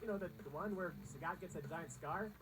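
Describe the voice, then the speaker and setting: A woman's voice: lowish voice, brisk, background noise. A woman reading scripted comedic countdown narration in a sarcastic, snarky manner.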